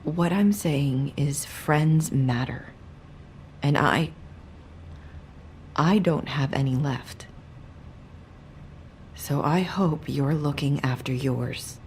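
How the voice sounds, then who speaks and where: A sorrowful young game character with a low voice. She speaks slowly and hesitantly, guarding what she says, over audible background noise. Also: a little mellow. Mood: sorrowful, pleading. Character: guarded, sorrowful young woman hiding secrets. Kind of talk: video game dialogue acting.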